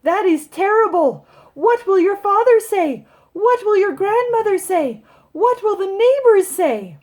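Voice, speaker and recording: A woman voicing a fretting, coaxing mother in a children's storybook, with emphatic, drawn-out delivery. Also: very high-pitched, sing-song, darkish tone, pitched above the performer's own voice, exaggerated voice. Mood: dismayed.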